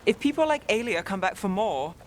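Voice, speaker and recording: Wry, guarded young female detective, played by an actress, fantasy game, mid-pitched, understated delivery over rain and street ambience. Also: sing-song, brisk, a little hiss or hum, close to an everyday voice. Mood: weary, troubled. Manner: dry, understated wit. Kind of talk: video game voice acting.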